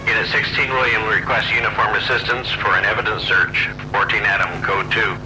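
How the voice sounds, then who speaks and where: A man's voice: lowish voice, little pitch movement, fairly quick, poor audio. A man playing an official police dispatcher making clipped calls over a radio in a detective game.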